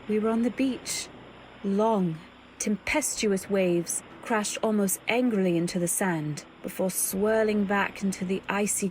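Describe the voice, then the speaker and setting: An actress playing an anxious young wife, telling her story in measured, literary old-time radio drama style. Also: medium pitch, a little hiss or hum, close to an everyday voice, low-quality recording.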